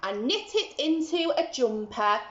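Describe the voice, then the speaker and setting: A woman's voice: high-pitched, sing-song, unhurried, fairly bright tone, exaggerated voice. A woman voicing a strange little bearded man from a storybook, emphatic and coaxing, bargaining like a trickster. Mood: coaxing, sly. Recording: roomy, slightly muffled home recording.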